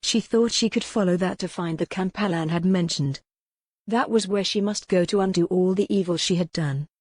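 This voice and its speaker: A woman reading a historical romance audiobook in a measured, dramatic storytelling manner. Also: lowish voice, a little mellow, dry recording. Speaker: audiobook narrator. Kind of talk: scripted fiction narration, historical romance.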